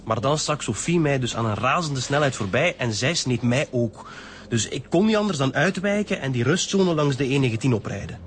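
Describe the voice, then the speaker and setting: An actor playing a smooth-talking advertising boss questioned by police in a radio drama, with a Flemish accent. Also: male voice, medium pitch, animated, brisk, slight background noise, lightly acted. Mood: apologetic. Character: smooth advertising boss pleading innocence to detectives. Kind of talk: naturalistic radio drama acting.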